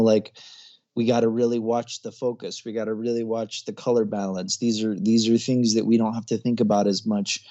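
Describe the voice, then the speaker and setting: A man's voice: mid-range voice, dark tone, dull audio. A man in his thirties, a filmmaker guest in a casual interview, chatty and often laughing as he talks. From grew up overseas, American parents.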